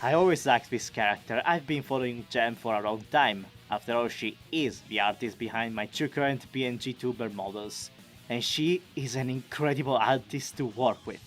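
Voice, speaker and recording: A man giving an enthusiastic, expressive, chatty scripted recap as a video creator. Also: medium pitch, moderate pace, faint music behind. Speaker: video creator and amateur voice actor.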